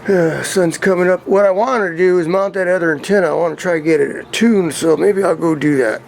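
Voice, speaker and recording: A man's voice: high-pitched, fairly even intonation, fairly quick, gravelly, a little hiss or hum. A man giving a casual hands-on vlog demonstration, rambling and thinking aloud, in and around a parked truck. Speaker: vlogger demonstrating CB radio antennas.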